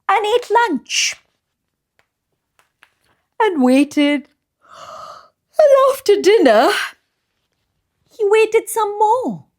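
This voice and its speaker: Slow, very animated woman storyteller. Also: very high-pitched. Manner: expressive, deliberate phrasing with drawn-out pauses for young listeners. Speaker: children's storyteller and channel host. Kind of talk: read-aloud bedtime storytelling.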